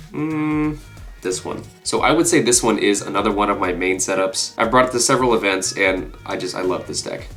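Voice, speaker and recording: Congested young man with a low voice. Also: fairly bright tone. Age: 20s. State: congested with a cold. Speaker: video creator. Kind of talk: casual chat showing a collection to camera. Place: small furnished room indoors.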